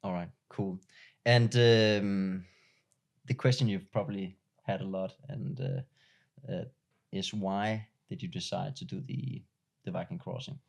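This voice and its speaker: Halting low-voiced male interviewer. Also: fairly even intonation, vocal fry. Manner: halting, many restarts and fillers. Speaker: interviewer, host. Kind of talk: casual interview questions.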